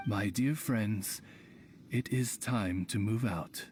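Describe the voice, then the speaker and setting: A man's voice: on the low side, slow, darkish tone, slight background noise. A man voicing a loyal, formal familiar serving a princess, courteous and florid, in a video game. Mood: courtly.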